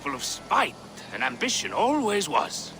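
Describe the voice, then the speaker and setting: An actor playing a gruff, surly old Viking warrior in a video game, blunt and sardonic, with a gravelly voice. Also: male voice, high voice, wildly swooping pitch, unhurried, noisy background, full character voice. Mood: blunt, defiant. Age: older. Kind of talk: video game dramatic acting.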